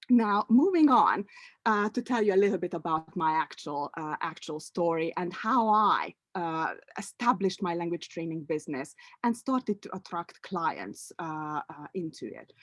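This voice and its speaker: A woman's voice: lowish voice, expressive, a little slow, no echo, studio quality. Hungarian-born woman presenting with many fillers. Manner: hesitant, many fillers and restarts. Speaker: language coach presenting a webinar. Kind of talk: online presentation.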